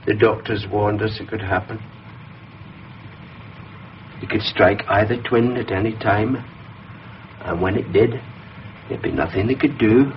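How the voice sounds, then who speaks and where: A man's voice: fairly low pitch, unhurried, long pauses, lightly acted. A man playing a courteous, probing psychiatric registrar in a naturalistic radio drama. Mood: probing, concerned. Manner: probing, questioning, professional. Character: inquisitive, courteous male psychiatric registrar doctor. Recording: dull lo-fi recording, faint ambient noise.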